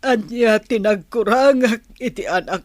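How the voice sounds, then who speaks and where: A woman's voice: a little high, measured pace, a little mellow, no echo, studio quality, close to an everyday voice. An actress with an Ilocano accent playing a plaintive, sorrowful mother in a radio drama. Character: sorrowful mother missing her estranged child.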